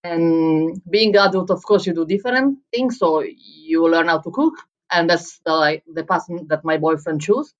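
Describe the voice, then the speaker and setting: A woman from Italy speaking English as a second language with an Italian accent, answering interview questions in a halting, filler-heavy way. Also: fairly low pitch, highs cut off. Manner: halting non-native phrasing with fillers. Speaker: guest, head barista and coffee coach.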